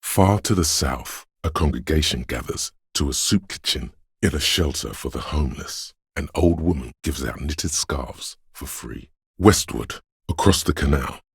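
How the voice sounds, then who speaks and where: An extremely deep, gravelly brooding city spirit. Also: male voice, no echo, clean studio sound, heavily acted. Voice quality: gravelly, husky bass. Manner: solemn, hushed, deliberate poetic delivery. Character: brooding poetic disembodied voice of a windswept city. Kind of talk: dramatic scripted game narration.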